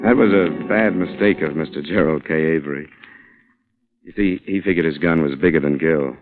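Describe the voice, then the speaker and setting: A man's voice: rumbling low, moderate pace, phone-quality sound, over music. A man playing a shrewd, defiant reporter in an old-time radio suspense drama, pointed and wry as he speaks. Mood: grim recounting. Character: hard-boiled male reporter, shrewd, defiant, accusing. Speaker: lead actor and narrator. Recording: vintage radio broadcast sound.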